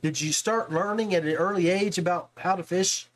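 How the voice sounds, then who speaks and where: A man's voice: high voice, normal speed, low-quality recording. A man hosting a podcast, chatting casually and informally with a guest about his hobby in a folksy way. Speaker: podcast host. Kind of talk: casual interview chat about fishing.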